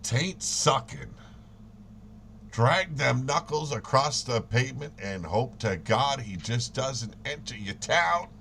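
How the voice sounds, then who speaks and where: A man's voice: medium pitch, expressive, slow, gravelly, bright, forceful tone, slight background noise. A man co-hosting a casual wrestling storyline chat in a folksy, crude, theatrically indignant manner. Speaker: co-host of a wrestling podcast.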